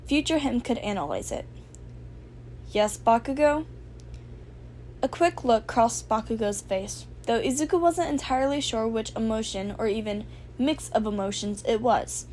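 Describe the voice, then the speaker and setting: A woman reading fan fiction aloud as an amateur narrator, in an expressive storytelling style. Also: fairly high pitch, moderate pace. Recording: faint background noise.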